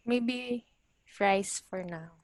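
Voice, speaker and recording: Unhurried, hesitant young woman host. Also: mid-range voice, no echo. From Philippines. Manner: hesitant, halting, giggly. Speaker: podcast host. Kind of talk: casual chat mixing English and Filipino.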